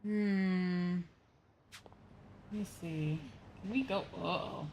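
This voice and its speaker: A woman's voice: fairly low pitch, steady tone, takes it slowly, a little mellow, slight background noise. A woman streaming a game, chatting casually and playfully and muttering to herself.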